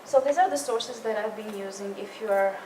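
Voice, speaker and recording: A woman's voice: mid-range voice, a little fast. A woman leading a writing tutor training session, explaining patiently and restarting her phrases. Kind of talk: workshop presentation. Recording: roomy distant mic with room noise.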